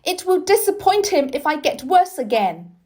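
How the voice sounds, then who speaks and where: Woman voicing a spirited, demanding young boy, high-pitched. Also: very animated, normal speed, a little mellow. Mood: indignant.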